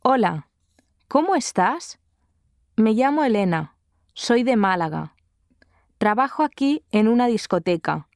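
An actress playing a cheerful, sociable young woman in a slow, scripted dialogue for language learners. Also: fairly high pitch, expressive, no room sound, studio quality.

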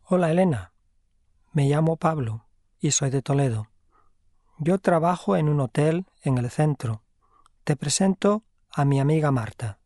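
An actor playing a friendly young man in a slow, scripted dialogue for language learners. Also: fairly high pitch, expressive, warm tone, dry recording, studio quality. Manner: clear, deliberate friendly delivery. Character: friendly young man, helpful hotel worker.

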